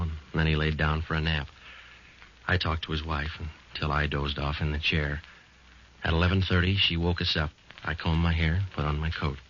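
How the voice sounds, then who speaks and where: An actor playing a terse, matter-of-fact police sergeant in a 1940s radio drama. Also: male voice, extremely low pitch, a bit bright, slight background noise. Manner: clipped, matter-of-fact, understated. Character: terse, businesslike police sergeant narrating his cases. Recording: dull old radio recording with music behind.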